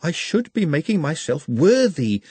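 A man doing a formal, precise, gentle young scholar. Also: on the high side, sing-song, a little slow, husky, dry recording, voice pushed up, heavily acted. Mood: meek.

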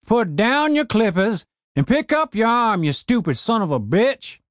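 Male reader as grumpy old rural man, gruff drawl, pitched up, slow. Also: sing-song, no echo, studio quality. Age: older. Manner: gruff, drawling backwoods grumbling. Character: cranky old rural man who keeps zombies.

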